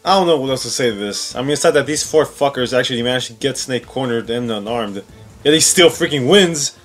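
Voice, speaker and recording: A man's voice: medium pitch, animated, a little fast. A man giving informal, sarcastic countdown commentary on video game scenes, often incredulous and swearing. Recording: music playing behind the voice. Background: background music.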